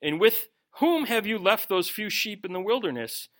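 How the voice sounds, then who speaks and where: A middle-aged man preaching a sermon, reading scripture and then commenting in an animated way, often acting out the voices he quotes. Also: high voice, moderate pace. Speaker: pastor. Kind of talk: expository sermon.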